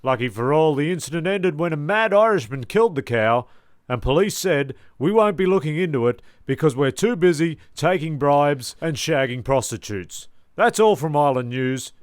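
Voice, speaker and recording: A man voicing a solemn, deadpan news reader in a parody bulletin for a radio comedy, measured and formal. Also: on the high side, no echo, clean studio sound, lightly acted. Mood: deadpan, punchy. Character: solemn male TV news reader, deadpan about absurd crime.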